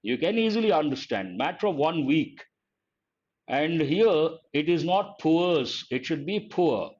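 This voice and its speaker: An older man with an Indian English accent teaches an online English grammar class, calling on students by name, asking questions and repeating the key points slowly. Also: a little high, very animated, a little mellow. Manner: addresses students by name, asks and answers his own questions. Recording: dull lo-fi audio.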